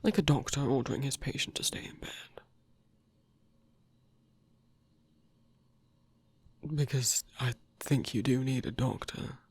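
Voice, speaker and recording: A performer voicing a seductive, protective villain in an intimate romantic ASMR roleplay, gently coaxing in a halting, husky voice that often drops to a whisper. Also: mid-range voice, fairly even intonation. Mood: tender, coaxing. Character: seductive villain, protective, tenderly persuading an abandoned hero.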